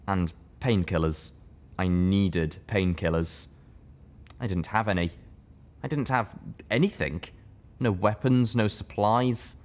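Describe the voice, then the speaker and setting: A man reading a scripted first-person story aloud as its narrator. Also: low-pitched. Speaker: fan fiction reader, narrator.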